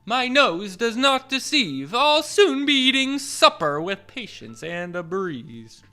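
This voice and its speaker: A man's voice: extremely high pitch, big pitch swings, slow pace, pushed and bright, faint music behind, heavily acted. A man voicing a hungry, confident male fox from a storybook, gleeful and yipping.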